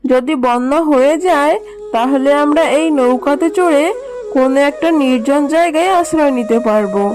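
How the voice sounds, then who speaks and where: A woman's voice: sits high, moderate pace, music in the background, close to an everyday voice. A woman voicing a caring, practical mother fox in a children's cartoon, speaking in an earnest, explanatory, motherly way. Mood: calm, hopeful.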